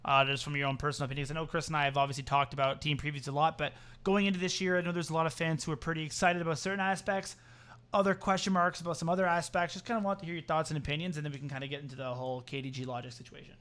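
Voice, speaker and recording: A man hosting a chatty interview, rattling off long, run-on questions at a quick clip. His voice sits a touch higher than most men's. He sounds eager and keeps the talk rolling. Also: flat delivery, a bit bright. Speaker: podcast host.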